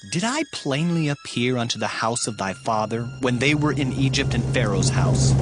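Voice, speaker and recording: Stern prophet over clear music. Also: male voice, fairly high pitch. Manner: declamatory, solemn, emphatic. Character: stern prophet, man of God proclaiming judgment. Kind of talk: dramatized scripture acting.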